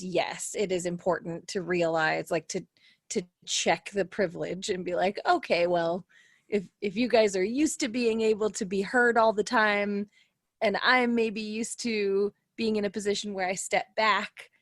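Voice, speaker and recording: A woman's voice: mid-range voice, no room sound, clean studio sound. A woman in her thirties talking casually on a podcast, giggly and self-deprecating, as one of the show's players. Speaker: podcast cast member and player.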